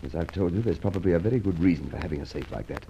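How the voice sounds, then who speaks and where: An actor playing an impatient, irascible plainclothes detective in an old radio serial, speaking in an urgent, blustering manner. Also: male voice, deep voice, racing, vocal fry, dark tone, muffled recording, a little hiss or hum.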